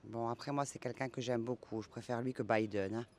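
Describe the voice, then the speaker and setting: A man's voice: medium pitch, steady tone, fairly quick, no echo. A man being interviewed, giving his opinion in casual, spontaneous speech.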